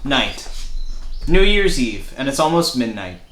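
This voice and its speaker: A man's voice: medium pitch, sing-song, a little slow, a bit bright. A man narrating a screenplay, reading out the stage directions. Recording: echoey room.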